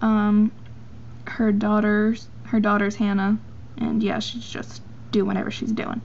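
A woman's voice: medium pitch, flat delivery, unhurried, vocal fry, a little mellow. A teenage girl casually narrating a tour of her toy horse barn, rambling with many ums and joking about being lazy. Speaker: model horse collector giving a tour. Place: indoors at a tabletop toy barn. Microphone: handheld camera mic. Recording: dull lo-fi camera audio with faint hiss.

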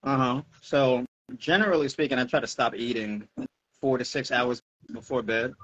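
A man's voice: medium pitch, normal speed, a bit bright, husky. A middle-aged American man, a fitness coach, explaining things informally in a casual video-call Q&A. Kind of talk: casual Q&A chat. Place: indoor room at home, video call. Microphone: wired earphones on a video call. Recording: dull, muffled video-call audio.

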